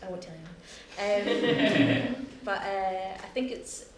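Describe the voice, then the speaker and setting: A young woman with a Scottish accent, a playwright chatting in a post-show discussion, self-mocking and quick to laugh. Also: medium pitch. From Scotland. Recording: echoey room, distant mic, noisy.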